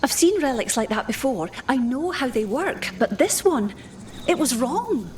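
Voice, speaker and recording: A woman's voice: high-pitched, sing-song, a little slow, a little hiss or hum. A woman playing a relieved but puzzled relic expert in a video game, speaking with urgency. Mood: relieved unease.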